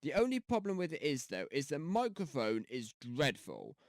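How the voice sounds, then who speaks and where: Man, rambling casual tutorial talk, headset mic, lively bouncing pitch, dry close sound. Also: moderate pace, no room sound. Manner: rambling, chatty, many fillers and restarts. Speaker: YouTube tutorial host. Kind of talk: casual screen-recorded tutorial. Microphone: gaming headset mic.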